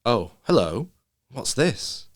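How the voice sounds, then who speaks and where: A man's voice: medium pitch, very animated, takes it slowly, no room sound, studio quality. A man voicing an exasperated, bewildered woman, a parent who questions insistently, in a story reading. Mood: curious.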